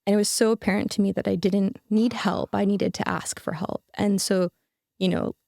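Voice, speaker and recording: A young woman shares a personal story on a podcast, earnest and full of fillers and restarts. Her mid-pitched voice is warm and mellow with a gravelly edge. The sound is dry and close. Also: steady tone, normal speed, dry recording. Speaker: podcast guest. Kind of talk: casual reflective storytelling.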